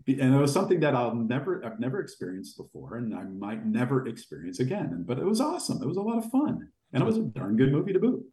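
A man with a deep voice telling long, rambling anecdotes as a podcast guest. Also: fast talker, hardly any pauses, slight background noise. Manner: rambling, animated anecdotes with fillers. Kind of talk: casual storytelling in an interview. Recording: echoey room.

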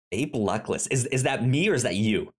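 A man's voice: medium pitch, animated, moderate pace, breathy edge. A man co-hosting a casual podcast, talking animatedly, laughing, and reading web quotes aloud. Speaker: podcast co-host.

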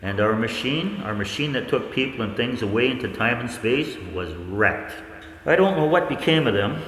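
A man's voice: mid-range voice, animated, moderate pace, a bit bright, lightly acted. A man acting a shaken researcher who broods and questions as he recounts an eerie disaster in a 1940s radio play. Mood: haunted, grave. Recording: echoey hall with hiss.

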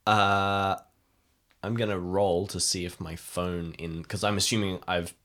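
A man's voice: low voice, flat delivery, measured pace, fairly bright tone. A man playing a tabletop role-playing game, chatting casually and thinking aloud in broken, hesitant phrases. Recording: music playing behind the voice. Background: background music.

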